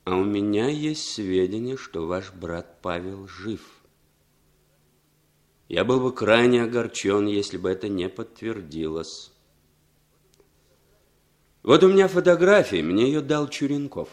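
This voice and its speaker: A smooth scheming foreigner, animated lilting delivery, radio play. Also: male voice, lowish voice, unhurried, pauses often. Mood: insinuating. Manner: smooth, insinuating. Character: scheming foreign man setting up a deception. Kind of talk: 1960s radio drama acting.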